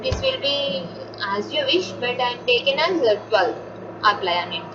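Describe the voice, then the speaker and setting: A woman with an Indian accent giving an unscripted step-by-step software tutorial, instructing and answering her own questions as she goes. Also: on the high side, expressive, normal speed, crowd noise. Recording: dull lo-fi audio, loud background noise.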